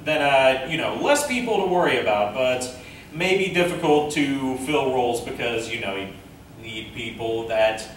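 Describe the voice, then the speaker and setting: A man presenting an informal convention panel, speaking haltingly as he thinks aloud. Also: medium pitch, lively intonation. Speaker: panel presenter, amateur director and voice actor. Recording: echoey room from far off, faint noise.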